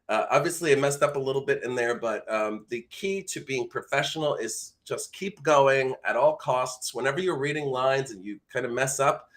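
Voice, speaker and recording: A man's voice: medium pitch, bright, forceful tone. A man hosting a live voice acting lesson, talking casually and encouragingly and reading practice lines aloud. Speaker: voice acting coach and livestream host. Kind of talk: casual live teaching with read-aloud demonstrations.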